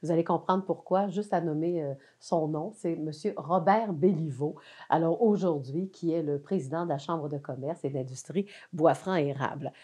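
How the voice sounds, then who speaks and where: A woman's voice: deep voice, normal speed, mellow, slightly rough. A woman with a Québécois French accent hosting a talk show interview, asking friendly questions with many fillers. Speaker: host.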